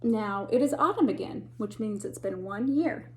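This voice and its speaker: A woman teacher reading a picture book aloud to children, pausing to point out the pictures and dropping to a whisper or a gasp at tense moments. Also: mid-range voice. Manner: animated, gasps and whispers for suspense, pauses to point out pictures. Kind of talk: expressive read-aloud storytime.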